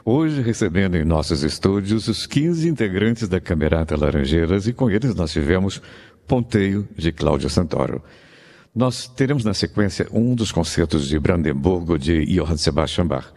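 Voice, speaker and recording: A man's voice: sits low, lively intonation, moderate pace, a little mellow, big-room sound. A man with a Brazilian accent presenting classical music as a radio host.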